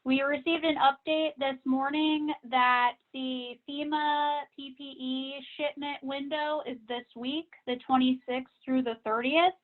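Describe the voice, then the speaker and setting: High-pitched woman on a tinny phone line. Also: steady tone, unhurried, few pauses. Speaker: association staff member giving member updates. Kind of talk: informational briefing on a conference call. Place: conference call.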